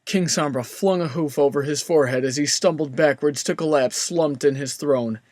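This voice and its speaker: A man's voice: a little high, normal speed, darkish tone, no echo, studio quality. A man narrating a fan fiction story in a scripted storybook style.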